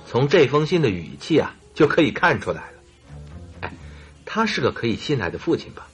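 A man acts an earnest, slightly huffy companion to a detective in a radio play. His medium-pitched voice rises and falls freely and expressively. Soft music plays behind him. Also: very animated, fairly quick, natural voice. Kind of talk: Mandarin radio drama acting.

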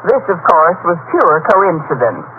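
A woman playing a wry, wisecracking high school teacher with dry delivery in old-time radio comedy. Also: very animated, moderate pace. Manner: dry, sardonic. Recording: dull, faintly noisy old radio recording.

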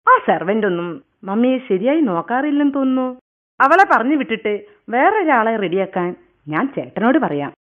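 A falsely caring grown daughter calling on video in a radio drama. Her bright, lively voice swoops up and down with sugary concern, heard thin and tinny as if through a phone. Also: fairly high pitch, fairly quick. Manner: sweet, overly solicitous. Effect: phone call.

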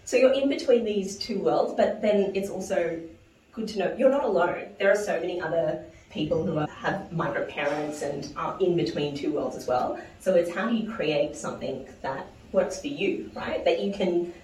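A woman's voice: on the low side, moderate pace, a little mellow, slight background noise. A woman from New Zealand, a guest on an interview show, who talks reflectively, laughs often and restarts her phrases. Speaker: guest investor. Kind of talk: conversational interview. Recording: echoey room, mic at a distance.

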